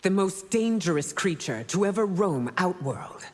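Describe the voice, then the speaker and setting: A regal, proud warrior ruler from a fighting game, speaks in formal, measured phrases. The pitch moves widely, and a long reverb trails the voice. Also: female voice, animated, a little mellow, faint music behind. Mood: grave. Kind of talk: video game character banter.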